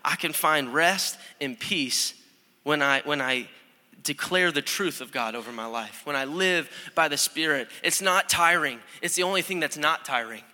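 A young male pastor with a very bright, forward voice, speaking a touch higher than most men. He preaches in a loose, conversational way, stumbling and restarting as he builds energy. The long reverb of a church hall trails behind him. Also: normal speed. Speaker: student pastor. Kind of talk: sermon. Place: church sanctuary.

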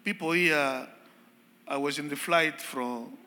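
Slow, careful Congolese archbishop, bright tone. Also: male voice, on the high side. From Democratic Republic of the Congo. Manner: slow, halting non-native English with pauses. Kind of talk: guest church talk. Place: church sanctuary. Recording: long church reverb.

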